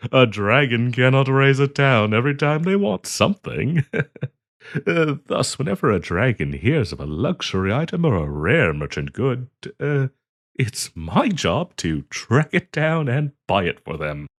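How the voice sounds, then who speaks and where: Slow, halting half-dragon diplomat, acted by a man. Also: medium pitch, big pitch swings. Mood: light, genial. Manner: hesitant, polite, halting with frequent ums and soft chuckles. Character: courteous, earnest half-dragon diplomat and trade broker. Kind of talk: roleplay audio acting, addressing the listener.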